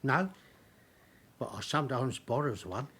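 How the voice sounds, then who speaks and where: An actor playing a sly, mock-deferential second-hand dealer with a London accent in a British radio drama. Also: male voice, medium pitch, unhurried, a bit bright, breathy edge. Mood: evasive. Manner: mock-deferential, evasive, cheeky. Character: sly, wheedling cockney second-hand furniture dealer, outwardly servile.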